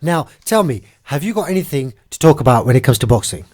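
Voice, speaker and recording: A man hosting a live community radio show in a studio, talking in a lively, hurried, upbeat way. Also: mid-range voice. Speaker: radio host.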